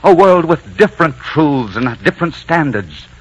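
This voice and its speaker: An actor playing a commanding, lovestruck Spanish conquistador in a 1940s radio drama. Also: male voice, a little high, sing-song, normal speed, fairly bright tone, slightly rough, exaggerated voice. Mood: fervent, imperious. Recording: dull 1940s radio recording.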